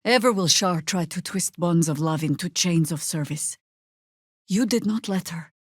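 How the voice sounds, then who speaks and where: A woman voice actor playing a seasoned, older druid warrior in a video game, wry, stern and proud, with measured, commanding delivery. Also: on the low side, no room sound, studio quality, lightly acted. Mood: resolute. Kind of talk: scripted video game dialogue.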